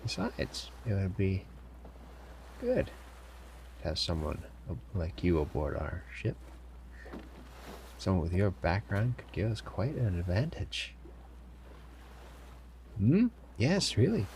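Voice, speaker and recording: A man playing a sly, commanding pirate captain in an audio roleplay, smugly amused and theatrical, with deliberate, formal phrasing, teasing pauses and a gravelly voice. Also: deep voice, big pitch swings, a little mellow, slight background noise, full character voice. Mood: measured approval. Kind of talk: scripted immersive audio roleplay addressed to listener.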